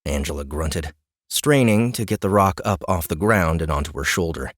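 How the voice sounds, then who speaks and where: A man narrating a fantasy audiobook in a wry, expressive storytelling manner. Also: sits low, normal speed, no echo, studio quality.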